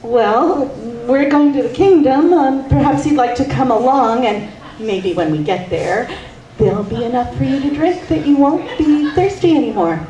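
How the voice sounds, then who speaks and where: A woman's voice: high-pitched, lively intonation, moderate pace, warm tone, muffled recording, slight background noise, voice pushed up. A woman storyteller voicing a kind, eager young boy who talks politely and hesitantly. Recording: roomy distant mic, faint ambience.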